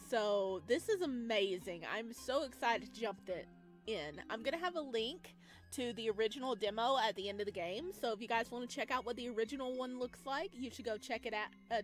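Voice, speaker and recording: A woman with a high voice hosts a gaming video over clear background music. Her commentary is playful and gushing, full of exclamations and cutesy slang. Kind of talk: excited let's play commentary with read-aloud game text.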